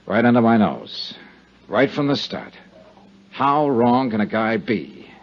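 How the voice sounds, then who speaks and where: An American actor playing a tough insurance investigator in a 1950s radio drama, speaking in clipped, dramatic, hard-boiled phrases. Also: male voice, mid-range voice, big pitch swings, slow pace, heavily acted. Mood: bitter realization. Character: tough, quick-witted male insurance investigator narrating his case. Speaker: lead actor and narrator. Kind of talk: 1950s radio detective drama acting, with first-person narration. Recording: dull vintage 1950s radio recording.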